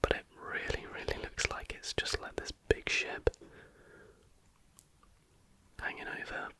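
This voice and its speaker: A British ASMR host whispering casual, chatty reaction commentary in a hushed, informal, rambling way. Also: normal speed.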